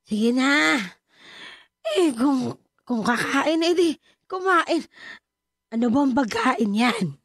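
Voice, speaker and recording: A woman's voice: high voice, animated, takes it slowly, pauses often, fairly bright tone, dry recording, clean studio sound, heavily acted. A woman acting a drunk, irritable Filipino mother in a radio drama, whining and grumbling in slurred speech. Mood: dismissive, grumbling. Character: drunk, irritable, neglectful mother brushing off her family.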